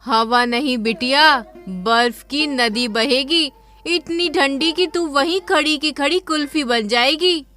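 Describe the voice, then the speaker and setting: A sharp-tongued, boastful village aunt in a cartoon storybook, as a man puts her on. Her voice sits high and bright with a tense, cutting edge, and she talks down to everyone with taunting, haughty scorn. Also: takes it slowly, soft background music, heavily acted. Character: boastful, spiteful village aunt, middle-aged woman.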